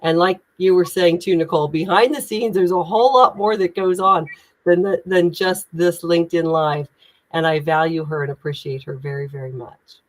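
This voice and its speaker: A woman with an American Midwestern accent talks in an energetic, emphatic way, coaching on leadership. Her voice sits fairly low for a woman. She speaks through a video call microphone. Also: moderate pace, poor audio. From United States (Indiana). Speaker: guest, executive communication coach. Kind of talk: LinkedIn live conversation.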